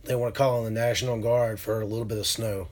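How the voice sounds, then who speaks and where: A hoarse man from Alabama, casually chatting with viewers on his livestream and answering their comments, with lots of ums and uhs. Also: fairly low pitch. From Alabama, USA. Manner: relaxed, drawn-out with many fillers. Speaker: livestream host.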